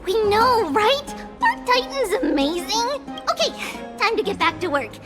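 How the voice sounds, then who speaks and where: Tiny, very high-pitched little girl priest. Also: big pitch swings, a little slow, full character voice. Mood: proud, cheerful. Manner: bubbly, exclamatory, speaks of herself as "we". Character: tiny cheerful childlike girl high priest, helpful and proud. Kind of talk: video game voice acting. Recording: music behind the voice.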